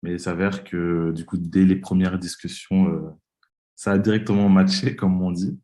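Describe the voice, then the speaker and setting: A man's voice: low-pitched, little pitch movement, moderate pace, warm tone. A man in his twenties from Belgium, a podcast guest talking casually and hesitantly with many fillers. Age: 20s. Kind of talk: casual interview talk.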